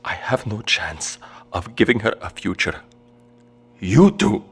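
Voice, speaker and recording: An actor playing a desperate, frightened father in an audio drama, speaking in a halting, emotionally raw way. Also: fairly low pitch, expressive, a bit bright, husky, heavily acted. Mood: desperate, pleading.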